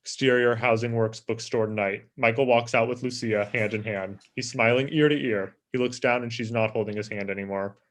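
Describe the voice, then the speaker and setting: A man's voice: mid-range voice, steady tone, normal speed, hardly any pauses, a bit bright. A man reading stage directions as scripted narration.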